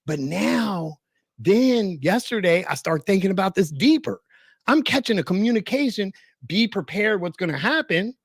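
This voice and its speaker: A man with a fairly high voice tells a story in an animated, casual way. He leans on slang and repeats phrases for emphasis. The sound is close and very dry, with no room echo. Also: moderate pace, vocal fry, husky. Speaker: podcast storyteller.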